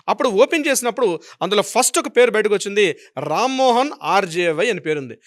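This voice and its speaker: A Telugu man speaks as a legal expert in a high voice and at a quick pace. He explains emphatically, circling back over points and posing questions as he goes. The sound is close and dry. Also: fairly bright tone, no echo. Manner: emphatic, repeats himself and poses rhetorical questions. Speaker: advocate commenting as a legal expert. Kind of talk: explanatory legal news commentary.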